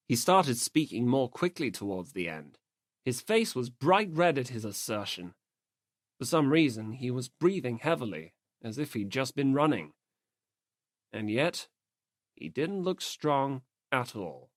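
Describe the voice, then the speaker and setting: A woman's voice: low voice, no echo, studio quality. A woman reading scripted story narration as an audiobook narrator.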